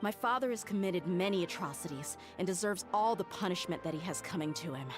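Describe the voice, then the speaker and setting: A woman voicing an earnest, loyal young female servant who speaks in a measured way, with soft game music behind. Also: on the low side, lively intonation, natural voice. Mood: conflicted.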